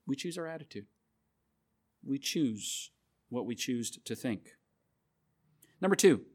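A man preaching a sermon, earnestly repeating scripture references and stressing key phrases. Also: fairly high pitch.

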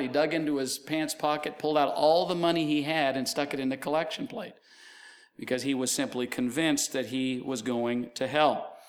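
A middle-aged preacher whose voice sits a little high for a man. His voice is fraying as he loses it, but he keeps going in a loose, conversational way, telling stories with offhand asides. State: losing his voice. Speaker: pastor. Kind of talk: sermon.

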